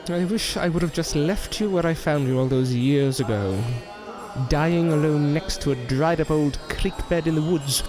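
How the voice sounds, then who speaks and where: A man's voice: a little high, normal speed, music in the background, natural voice. A man playing a bitter, menacing, washed-up stage entertainer in a theatrical style for an audio drama. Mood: bitter menace.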